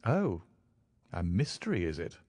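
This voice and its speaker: Man playing an affable, curious gentleman doctor. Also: low voice, huge pitch swings, measured pace, dry recording, studio quality, exaggerated voice. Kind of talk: audiobook character dialogue.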